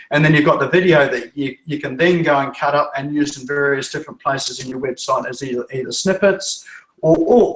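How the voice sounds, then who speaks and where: A man's voice: a little high, echoey room, poor audio. A man from Norfolk Island with a New Zealand accent, a web developer answering interview questions in a chatty, rambling way with frequent restarts. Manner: chatty, restarts and repeats words.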